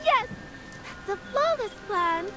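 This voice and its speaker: A woman's voice: very high voice, expressive, takes it slowly, over music, heavily acted. A woman voicing a playful, mischievous fairy in a video game, with a theatrical, teasing delivery. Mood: excited, scheming. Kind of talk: scripted game voice acting.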